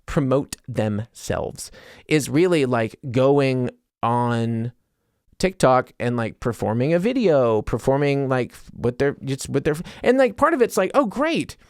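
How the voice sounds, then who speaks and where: A man's voice: medium pitch, lively intonation, unhurried, clear, resonant tone, no room sound, clean studio sound. A man hosting a solo podcast in a casual, rambling, conversational and self-deprecating way, like a stand-up comic chatting to listeners. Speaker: podcast host and stand-up comedian.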